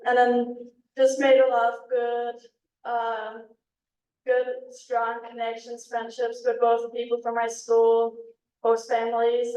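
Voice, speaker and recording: A woman's voice: fairly high pitch, darkish tone, distant mic. Young Danish woman, echoey room. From Denmark. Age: young adult. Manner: chatty with many ums and likes. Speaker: exchange student. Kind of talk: casual talk at a club meeting. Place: meeting room.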